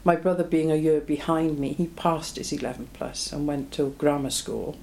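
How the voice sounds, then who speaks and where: Low, mellow-voiced woman in her seventies. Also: fairly even intonation, moderate pace, creaky, a little hiss or hum, low-quality recording. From Belgium. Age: 70s. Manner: reflective, plain-spoken, frequent ums. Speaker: oral history interviewee. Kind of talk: oral history interview.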